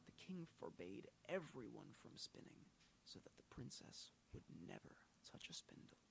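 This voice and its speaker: A man narrating a children's fairy tale in a soft, hushed, breathy reading. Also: medium pitch, very animated, moderate pace, in a whisper, poor audio. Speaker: storyteller. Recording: loud guitar music behind. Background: loud plucked guitar music.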